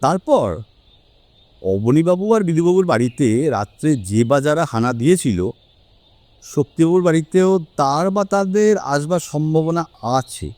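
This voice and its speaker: A confident detective acted by a man in an audio drama, swinging widely in pitch on a somewhat high voice. He lays out his reasoning with deliberate emphasis. The sound is close and dry. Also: lively intonation, a little mellow, no room sound, lightly acted. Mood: assured. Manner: deliberate, emphatic, theatrical phrasing. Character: confident, sharp-minded male detective explaining a case. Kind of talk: Bengali audio story acting.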